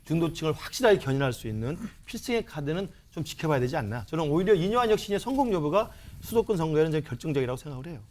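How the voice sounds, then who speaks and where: A man's voice: a little high, big pitch swings, racing, few pauses, a little mellow. A man giving political commentary as a party politician, arguing in long run-on sentences. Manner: long run-on argument, piling up clauses.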